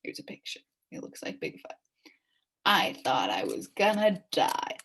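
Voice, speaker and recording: A woman reading a children's story aloud in an expressive, dramatic way, dropping into hushed suspense at times. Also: extremely low pitch, a little slow, breathy edge. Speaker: story reader.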